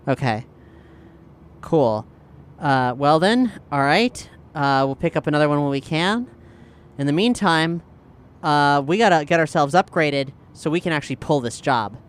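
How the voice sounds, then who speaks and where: A man chatting casually as a tabletop game player, thinking aloud in a halting way. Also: a little high, expressive, smooth.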